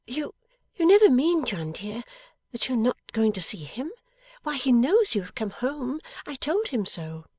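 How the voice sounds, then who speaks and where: A woman's voice: high-pitched, sing-song, measured pace, mellow, slightly rough, no echo, voice pushed up, full character voice. A woman voicing a pouting, affectionate young wife in a storybook, coaxing and emphatic. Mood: pouting surprise. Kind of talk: dramatised audiobook character.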